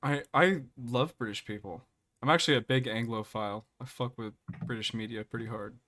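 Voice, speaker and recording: A man's voice: mid-range voice, fairly quick, sharp tone. Sleepy guy rambling on a call, um and uh everywhere. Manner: hesitant, rambling, lots of fillers. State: groggy. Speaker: panelist. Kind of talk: casual online panel chat.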